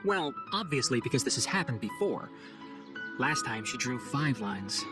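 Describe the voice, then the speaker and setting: A man's voice: mid-range voice, sing-song, moderate pace, over loud music, close to an everyday voice. An actor playing an earnest, scholarly young male forest ranger in a video game cutscene, speaking formally and explaining things with composure. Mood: composed, urgent.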